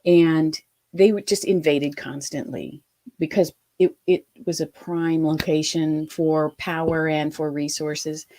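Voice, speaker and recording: A woman in her fifties from Ohio, a guest telling her life story in an interview, animated and full of quoted asides. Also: low-pitched, takes it slowly. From Ohio, USA.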